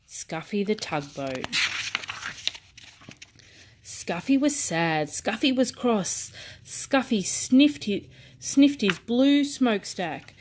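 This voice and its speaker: Woman, medium pitch, bedtime storybook narration, deliberate phrasing, reading for small children. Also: lively intonation, background noise.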